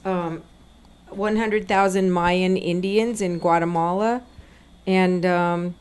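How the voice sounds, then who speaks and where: A woman's voice: lowish voice, fairly even intonation, slow, a little mellow, clean tone. A woman co-hosting a political talk show, speaking conversationally and earnestly, repeating points for emphasis. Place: inside a gun store.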